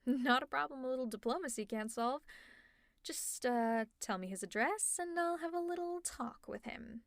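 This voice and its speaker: A woman's voice: high-pitched, expressive, normal speed, no room sound, clean studio sound. A woman playing a sarcastic but caring female supervillain in an audio roleplay, teasing and exasperated, with sighs and laughs. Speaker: ASMR roleplay narrator.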